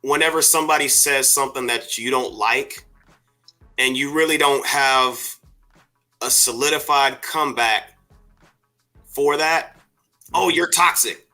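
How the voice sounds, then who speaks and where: A man's voice: medium pitch, unhurried, pauses often, bright, forceful tone, soft background music. A man hosting a podcast, talking casually in a meandering way with lots of fillers and restarts. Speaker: podcast host. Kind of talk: casual discussion.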